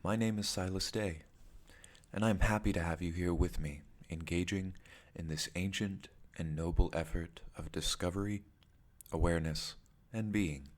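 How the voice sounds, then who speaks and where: A man guiding a meditation as its teacher, speaking in measured, deliberate phrases with pauses between them. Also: low voice. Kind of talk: guided meditation narration.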